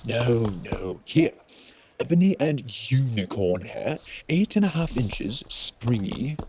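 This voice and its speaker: A man voicing an eccentric, eerie old wandmaker, soft-spoken and musing, in a theatrical storybook reading. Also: medium pitch, sing-song, slight background noise. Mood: brisk, eager. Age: older. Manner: musing, theatrical, drawn-out phrasing with sudden eager bursts. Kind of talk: dramatic audiobook reading with character voices.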